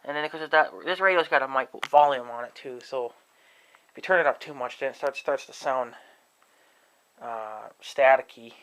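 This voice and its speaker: A man giving a casual, rambling demonstration to viewers, halting and thinking aloud as he talks. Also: mid-range voice, fairly bright tone, rough recording. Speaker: amateur video creator demonstrating an app. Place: indoors in own room at home.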